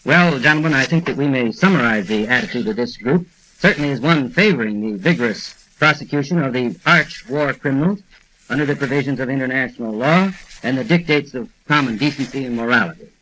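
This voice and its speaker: Formal American radio moderator, noisy vintage recording. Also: male voice, mid-range voice, a little fast, pushed and bright. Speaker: moderator of a radio panel discussion. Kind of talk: formal scripted summary and announcement.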